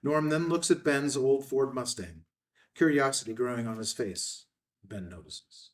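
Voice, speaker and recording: A man's voice: mid-range voice, measured pace, far from the mic. A man narrating screenplay action lines at a table read. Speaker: stage-direction narrator.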